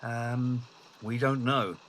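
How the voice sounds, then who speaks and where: Casting: male actor as halting, bumbling Victorian inspector for a comic radio mystery, bright forceful voice, light ambient noise. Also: mid-range voice, fairly even intonation. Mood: flustered, earnest. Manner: hesitant, halting.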